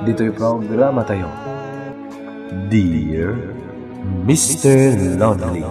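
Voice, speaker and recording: Male radio drama host, low pitch, formal scripted introduction over prominent music. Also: animated, extremely slow. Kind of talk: scripted radio introduction, reading a listener's letter. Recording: old AM radio with loud music.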